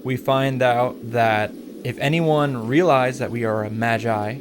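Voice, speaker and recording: Wry man with a mid-pitched voice. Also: fairly even intonation, a little slow, background noise. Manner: wry, playful, casually irreverent. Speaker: video host. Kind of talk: comedic scripted recap narration.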